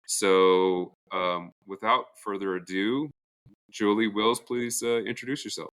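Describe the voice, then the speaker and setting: A man co-hosting a podcast in an informal, conversational way, with halting, pause-filled introductions. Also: lowish voice, steady tone, a bit bright. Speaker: podcast co-host.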